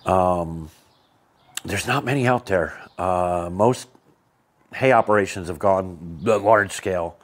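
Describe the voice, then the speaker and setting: A man's voice: low voice, takes it slowly. Rambling American man vlogging on a farm. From United States. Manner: rambling, thinking aloud with many fillers and restarts. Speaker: homesteader vlogger. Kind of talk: casual vlog talk to camera. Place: farm shed, yard and barn, partly outdoors. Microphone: clip-on lapel mic.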